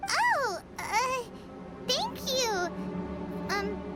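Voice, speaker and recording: A woman voicing a small, excitable, cheeky childlike floating companion in an anime game, squeaky and exclaiming. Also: extremely slow, exaggerated voice. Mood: flustered, indignant. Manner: speaks of herself in third person, squeaky, exclaiming. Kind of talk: scripted anime game dialogue. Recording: game music behind the voice.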